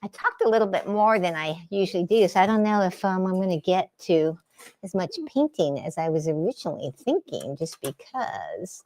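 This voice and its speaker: A woman's voice: lowish voice, very animated, moderate pace, a little mellow. Chatty woman livestreaming from her art studio. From San Francisco Bay Area. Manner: chatty and meandering, answering viewers' comments. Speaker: livestream host and spiritual artist. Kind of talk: casual livestream chat while painting. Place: home art studio under daylight lamps.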